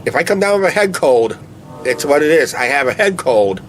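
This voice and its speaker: A man's voice: on the high side, very animated, brisk, slight background noise. A man with a stuffy, blocked nose chatting casually over a beer at night outside by a shed, rambling with lots of "uh, basically" fillers into a camera on a tripod. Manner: meandering, filler-heavy, "uh, basically" talk. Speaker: co-host of a vlog channel. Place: outdoors at night near a shed.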